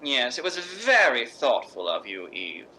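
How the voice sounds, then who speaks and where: A man's voice: mid-range voice, wildly swooping pitch, a little slow, bright, forceful tone, slight background noise, full character voice, poor audio. A man playing an imperious middle-aged stage actress in a 1950s radio drama, theatrical and arch, with sarcastic politeness. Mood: icily polite.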